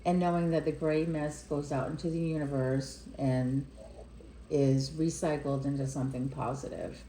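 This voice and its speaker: A woman leading a guided meditation in a gentle, unhurried way, speaking in short phrases with pauses between them. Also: sits low, fairly even intonation, reverberant, a little hiss or hum, low-quality recording. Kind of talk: guided meditation, slow soothing narration.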